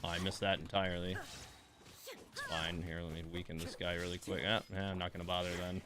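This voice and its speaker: A man's voice: low voice, level tone, fast talker, crowd noise. A man giving casual live game commentary as a streamer, thinking aloud in a laid-back way.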